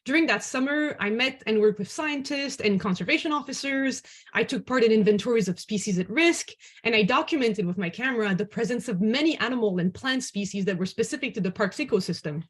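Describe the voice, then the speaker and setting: A woman's voice: on the high side, expressive, fairly quick, breathy edge. A woman hosting an online dialogue, giving a prepared presentation. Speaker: host and moderator.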